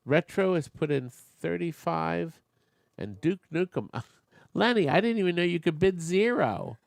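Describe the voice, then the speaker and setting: A man's voice: fairly high pitch, lively intonation, measured pace, gravelly, no room sound. A man hosting a live online auction, chatting with bidders and making joking asides while losing his voice. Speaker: auctioneer and show host.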